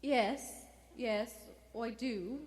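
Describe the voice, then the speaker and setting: A woman's voice: a little high, extremely slow, darkish tone. An actress playing an anxious, fussy landlady in a live radio play, flustered and eager to please. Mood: nervous. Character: anxious, deferential landlady, bossy housewife to her husband. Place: theatre stage before a live audience.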